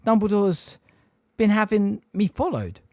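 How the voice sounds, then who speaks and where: A man's voice: high voice, big pitch swings, unhurried, warm tone, no room sound, clean studio sound, voice pushed up, heavily acted. A man voicing a defiant teenage boy who speaks sharply and urgently.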